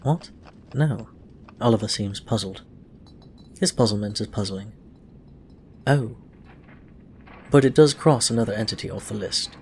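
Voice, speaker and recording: A man's voice: lowish voice, fairly quick, darkish tone, slight background noise. A man reading fiction aloud as a scripted narration. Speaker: audio fiction reader. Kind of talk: scripted story narration with acted dialogue.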